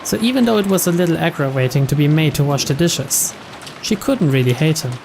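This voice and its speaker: Casting: male narrator, slightly high voice, reading game story text over noisy ambience. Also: expressive, fairly quick, gravelly. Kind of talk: scripted narration read aloud.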